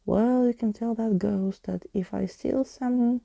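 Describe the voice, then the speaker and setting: A woman's voice: on the high side, normal speed, creaky, mellow, no echo. A woman voicing a bold, cocky young street thief in a storybook reading, with a taunting manner. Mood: cocky boasting.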